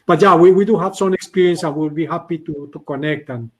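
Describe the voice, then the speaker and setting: A man's voice: a little high, fast. A man answering a question on an online panel, explaining at length and repeating words as he restarts. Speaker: company representative answering questions.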